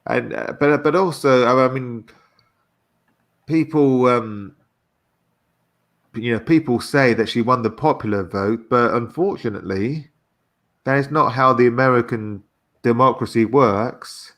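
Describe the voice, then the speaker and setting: A man's voice: mid-range voice, unhurried, pauses often, fairly bright tone. A man chatting casually on a livestream, hesitant and often repeating his phrases as he goes.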